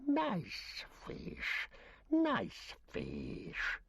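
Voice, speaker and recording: A man's voice: sits high, wildly swooping pitch, extremely slow, creaky, a bit bright, husky, pitched above the performer's own voice, heavily acted. A man voicing a wretched, grasping male creature who hisses, whispers and mutters to himself in a broken, wheedling way. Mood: muttering, wheedling. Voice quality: hissing, whispery, swooping. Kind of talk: audiobook character voice.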